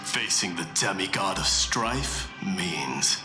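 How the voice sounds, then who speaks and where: A man's voice: deep voice, expressive, slow pace. An actor playing a proud, scornful but honorable warrior king in a video game, speaking with imperious, taunting deliberation. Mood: proud, solemn. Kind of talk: dramatic video game voice acting. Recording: echoey room sound. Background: faint music.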